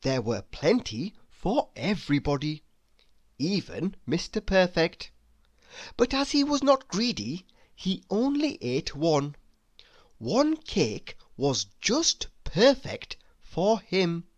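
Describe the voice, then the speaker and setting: A woman narrating a children's storybook read-aloud in an expressive, deliberate storytelling manner. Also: fairly low pitch, no echo.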